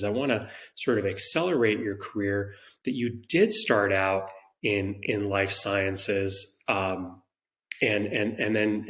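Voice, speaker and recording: A man with a fairly low voice hosts an interview podcast. He frames his questions thoughtfully and often restarts his phrases as he goes. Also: a bit bright. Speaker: podcast host. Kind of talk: interview conversation.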